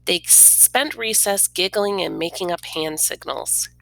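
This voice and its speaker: A woman reads a picture book to young children with expression. Her voice is lowish and bright with some vocal fry, recorded dry and close. She explains things in simple, informal words. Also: measured pace, dry recording, rough recording. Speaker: read-aloud storyteller.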